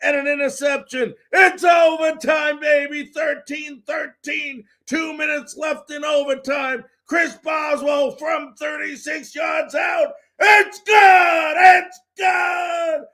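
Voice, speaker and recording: A man's voice: very high-pitched, fairly even intonation, slow pace, few pauses, bright, forceful tone, raised voice, a faint crowd. A man hosting a live sports talk show, recapping games and addressing viewers in an emphatic, folksy way.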